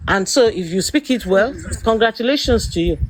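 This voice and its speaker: A middle-aged Nigerian woman hosting a talk, ranting forcefully and firing off rhetorical questions. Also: fairly high pitch, lively intonation, brisk, poor audio. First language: Yoruba. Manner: emphatic, rhetorical questions, rising to exclamations. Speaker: host. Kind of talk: opinionated commentary.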